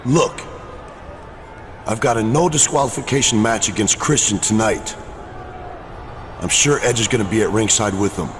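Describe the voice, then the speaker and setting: A man's voice: on the low side, normal speed, long pauses, close to an everyday voice. A man playing an earnest wrestler in a video game, speaking in an insistent, plain-spoken way. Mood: urgent concern. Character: earnest, pleading man wrestler urging his tag partner. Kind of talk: scripted video game cutscene acting. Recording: clear ambient noise behind voice.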